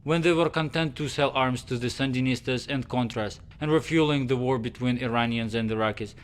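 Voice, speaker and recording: A man's voice: medium pitch, brisk, clear, resonant tone, close to an everyday voice. An actor playing a formal male intelligence officer giving a briefing in a game cutscene.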